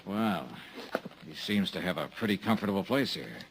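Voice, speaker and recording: A hard-boiled insurance investigator talks low and deep in short, dry lines. This is a 1950s radio play with a faint background hiss. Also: male voice, animated, moderate pace, creaky. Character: tough male insurance investigator narrating. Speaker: lead actor and narrator. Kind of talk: 1950s radio drama acting.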